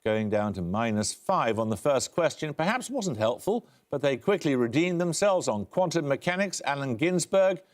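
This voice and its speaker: A man hosting a quiz show, reading questions crisply and briskly in a studio. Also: fairly high pitch. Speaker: quizmaster. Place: television studio.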